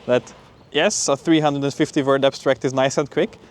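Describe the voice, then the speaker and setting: A man's voice: mid-range voice, fairly even intonation, normal speed. A young man giving an informal, upbeat vlog update in a conversational way, as a student talking through his week. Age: young adult. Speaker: PhD student vlogger. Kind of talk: casual spoken vlog update.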